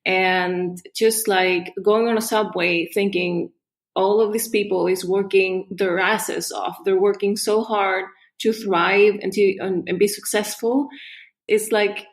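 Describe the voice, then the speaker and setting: A woman from Chile, a guest on a podcast, talking in an animated, casual way with restarts and frequent laughs. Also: medium pitch, takes it slowly. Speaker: guest artist. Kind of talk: casual interview chat.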